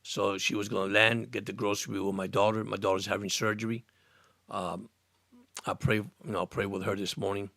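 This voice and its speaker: Gravelly-voiced man near sixty, rambling. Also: on the low side, little pitch movement, brisk, fairly bright tone, dry recording. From Cuba, raised in Miami. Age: about 60. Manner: rambling, repeats words and restarts, earnest. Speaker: live stream sports host. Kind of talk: casual live stream chat, reading viewer comments. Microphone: studio microphone.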